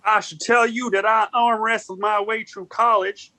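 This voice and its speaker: A woman's voice: mid-range voice, steady tone, normal speed, bright, forceful tone, no echo, natural voice. A woman playing a boastful, combative exorcist in an amateur radio play. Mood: confident, challenging. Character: boastful, combative exorcist called in to clear a house.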